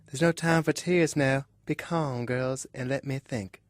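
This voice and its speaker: Woman playing a calm, steadfast mother. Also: rumbling low, unhurried, no echo. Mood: composed, urgent. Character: calm, steadfast middle-aged mother in wartime. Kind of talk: amateur audio drama of a classic novel.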